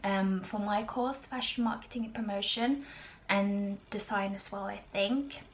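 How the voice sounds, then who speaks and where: A woman, giving a casual, informative vlog in a halting way with drawn-out pauses. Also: medium pitch. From Norway. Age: 20s. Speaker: student video blogger.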